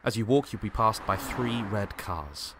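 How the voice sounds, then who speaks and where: A man acting as a mysterious male stranger in an audio drama. His voice is low, and he speaks with earnest insistence, as if he knows what is coming. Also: expressive, a little slow, background noise. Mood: grave, knowing. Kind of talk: dramatic script reading.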